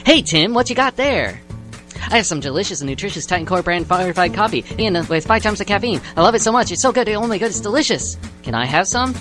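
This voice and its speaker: A woman's voice: mid-range voice, animated, fast, natural voice. A woman playing a gushing, over-eager coffee lover in a comic radio ad skit, with music behind her.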